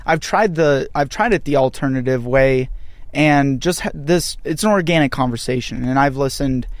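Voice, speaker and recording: A man's voice: medium pitch, normal speed, no room sound. A man hosting a podcast interview, asking questions in an eager, enthusiastic way. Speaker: podcast host. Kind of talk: casual interview chat.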